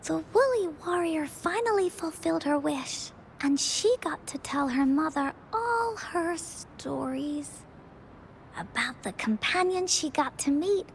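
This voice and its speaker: Game role: little storyteller girl. High, animated, cheerful, earnest, some background rumble. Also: a little slow. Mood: happy, earnest. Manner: dramatic storybook narrating, exclaiming. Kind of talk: video game voice acting, storytelling.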